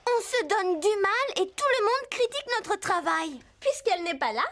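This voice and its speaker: A woman's voice: very high voice, sing-song, moderate pace, fairly bright tone, a little hiss or hum, heavily acted. A woman voicing a scheming, self-justifying young girl rider in a French cartoon dub. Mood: defensive.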